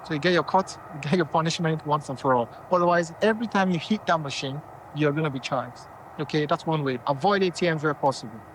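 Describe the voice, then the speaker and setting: A man's voice: on the high side, quick pace, a little hiss or hum, low-quality recording. A man from Nigeria, a company founder giving casual money advice and often checking in with his listeners. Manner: conversational, checks in with "okay?" and "right?".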